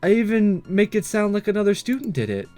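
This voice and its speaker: A man's voice: sits high, sing-song, fairly quick, warm tone, faint music behind. A man voicing an earnest, dutiful schoolgirl class representative in a visual novel, with hesitant, careful, formal delivery. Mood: troubled, conscientious. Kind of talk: visual novel dialogue read aloud.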